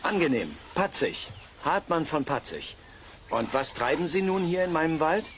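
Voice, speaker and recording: An actor playing a stern, pompous aristocratic forest owner in a German children's audio drama, curt and condescending. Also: male voice, fairly high pitch, sing-song, moderate pace, a bit bright, slight background noise. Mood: stern, suspicious.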